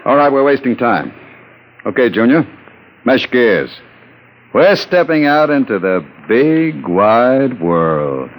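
An actor playing a cold, commanding crook who coolly coaxes and menaces in an old-time radio drama. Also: male voice, mid-range voice, big pitch swings, slow pace, poor audio. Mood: smug, coaxing. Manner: domineering, coolly coaxing. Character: cold, commanding, menacing male crook, smooth-talking boss.

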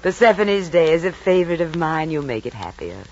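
A woman's voice: deep voice, sing-song, a little fast, heavily acted. An actress playing a Roman emperor's doting, indulgent mother in an old radio drama, speaking in a stately, savoring way. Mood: fond, indulgent. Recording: dull, noisy vintage radio recording.

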